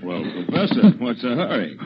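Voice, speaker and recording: A man's voice: medium pitch, very animated, fairly quick, lightly acted. An actor playing a curious, folksy campus policeman with a casual, inquisitive manner in 1940s radio style. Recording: very noisy, dull old radio recording.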